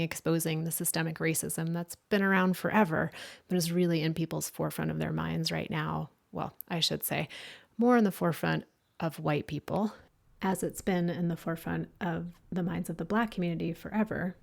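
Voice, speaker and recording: Female podcast host, low register with vocal fry, reflective and conversational. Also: steady tone, normal speed. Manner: thoughtful, frequent restarts and "like". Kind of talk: conversational interview.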